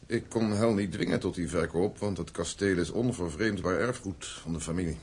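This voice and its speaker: Old man, low husky voice, stern, embittered, formal and measured, radio drama. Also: darkish tone. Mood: bitter, cool. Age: older.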